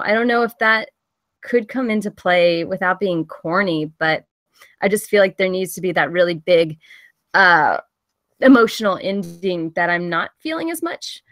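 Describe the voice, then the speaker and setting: A woman speaks at a middling pitch in a very dry recording. She gives casual notes as a panelist, informal and a little tentative, working out her opinion aloud. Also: fairly bright tone, dry recording. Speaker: panelist giving script feedback. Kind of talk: casual spoken critique at a table read.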